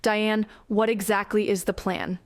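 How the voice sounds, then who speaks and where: A woman's voice: medium pitch, little pitch movement, measured pace, no room sound, studio quality, natural voice. A woman voicing an earnest, easily bewildered young female soldier in an audiobook. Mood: urgent. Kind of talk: audiobook character voice.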